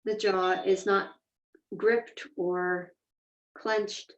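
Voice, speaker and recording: A woman leads a guided meditation at a medium pitch. She speaks deliberately, leaving space between short phrases. The recording is dull and roomy, with her voice set back from the microphone. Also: steady tone. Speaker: meditation teacher. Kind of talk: guided meditation, slow instruction. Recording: echoey room, distant mic, dull sound.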